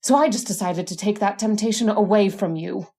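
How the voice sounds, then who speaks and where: A woman's voice: mid-range voice, fairly quick, dark tone, close to an everyday voice. Woman acting imperious, sly witch wife. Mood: sly menace. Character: bossy, jealous witch wife, coolly menacing and teasing. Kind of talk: old-time radio horror drama acting.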